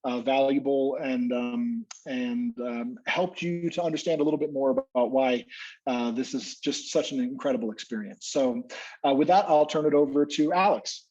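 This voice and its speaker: A man guiding trips who closes his part of a webinar over a video call, halting with fillers. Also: mid-range voice. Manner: warm wrap-up, halting with fillers. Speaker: expedition guide. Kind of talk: webinar presentation. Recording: dull lo-fi video call audio.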